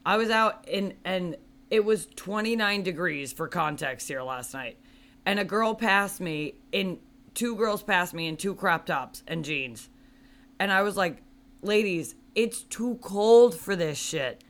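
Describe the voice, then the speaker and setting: Podcast co-host, woman, loud voice, easy laugh, remote call audio. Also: on the low side, expressive, unhurried. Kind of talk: casual chat. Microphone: video call.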